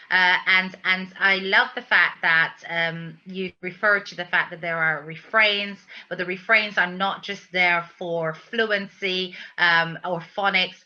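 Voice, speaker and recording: A woman hosting an interview, effusive in her praise and halting in her phrasing, on dull lo-fi audio. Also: fairly low pitch, sharp tone. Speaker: host interviewer.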